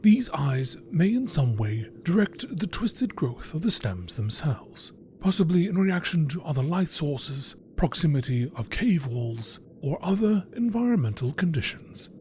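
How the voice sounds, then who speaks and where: A man reading scripted nature documentary narration in a measured, expository manner. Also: on the high side, very animated, darkish tone, a little hiss or hum. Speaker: narrator reading game text.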